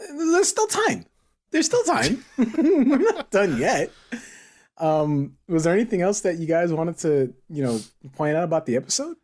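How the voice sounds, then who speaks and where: Male host, slightly high voice, very animated intonation, playful teasing, loose conversational style. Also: a little slow. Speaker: podcast host. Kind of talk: casual panel chat.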